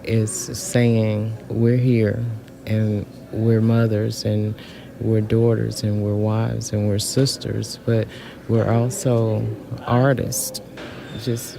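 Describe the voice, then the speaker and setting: A woman's voice: very deep voice, creaky, noisy background. A middle-aged woman speaking informally and from the heart, repeating phrases for emphasis, recorded on location with other voices around. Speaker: incarcerated artist. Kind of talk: heartfelt informal interview answer. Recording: location recording with crowd chatter behind. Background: background voices.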